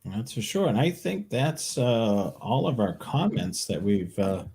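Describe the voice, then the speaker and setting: A man's voice: lowish voice, very animated, unhurried, mellow, slight background noise. A man hosting a live show, answering tech questions in an informal, plain-spoken way. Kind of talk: casual tech Q&A talk.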